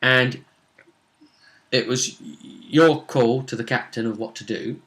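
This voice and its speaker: A man's voice: medium pitch, takes it slowly, fairly bright tone, low-quality recording. A man acting as game master and host, casually recapping a role-playing session in a storytelling way that teases suspense.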